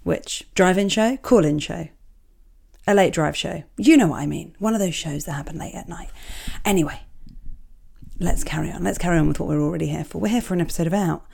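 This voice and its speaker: Woman, croaky from a cold, gravelly, lowish voice, chatty host, self-deprecating rambling. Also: a little fast, darkish tone. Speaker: podcast host. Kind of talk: casual solo podcast chat, reading listener emails. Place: small home office.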